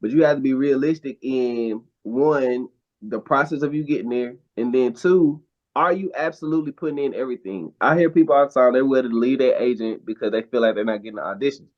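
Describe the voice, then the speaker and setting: A man speaks as an interview guest, earnest and emphatic, and his voice sits at a middling pitch. He restarts his phrases as he drives a point home. The recording is dull and slightly lo-fi. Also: brisk, a little mellow. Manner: earnest, emphatic advice-giving with frequent restarts. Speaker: guest actor and model. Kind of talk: casual interview talk. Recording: dull lo-fi sound.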